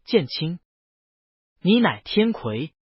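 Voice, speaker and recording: A man voicing a cool, aloof male immortal master who speaks in brief, quiet lines. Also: sits high, sing-song, slow pace, husky, no echo, muffled recording, exaggerated voice.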